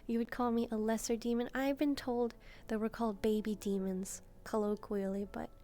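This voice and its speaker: A woman's voice: fairly high pitch, flat delivery, moderate pace, mellow, natural voice. A woman voicing a sweet, curious young demon maid, chatting politely and a little hesitantly to the listener in a roleplay. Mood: earnest, explaining.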